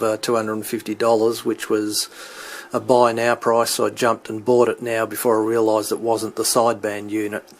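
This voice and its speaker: A middle-aged man giving an unscripted hobby demonstration, hesitant and halting as he searches for words. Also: fairly low pitch. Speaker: CB radio hobbyist demonstrating his equipment. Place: indoors at home beside radio equipment. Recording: clearly noisy home recording with radio static.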